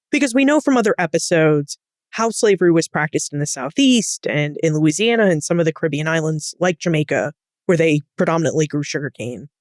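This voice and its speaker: A woman hosting a podcast interview, putting prepared questions to a guest in an articulate, measured way. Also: sits low, darkish tone, no room sound, clean studio sound.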